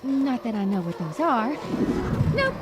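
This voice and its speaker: A woman's voice: fairly high pitch, wildly swooping pitch, unhurried. A woman voicing a dim, bubbly young woman in a video game, naive and self-satisfied. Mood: nervous, self-reassuring. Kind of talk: video game cutscene acting.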